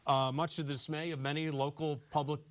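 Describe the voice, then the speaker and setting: A man moderates a televised current affairs panel. His voice sits somewhat high for a man, and he sets out each item before handing a question to the table. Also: level tone, fairly quick. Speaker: host and moderator of a panel discussion.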